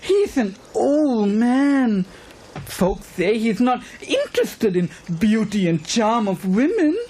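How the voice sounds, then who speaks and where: A woman voicing a deferential, expressive maidservant in a cartoon, with a dramatic way of talking. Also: on the high side, takes it slowly, slightly rough, full character voice. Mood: coaxing. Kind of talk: cartoon voice acting.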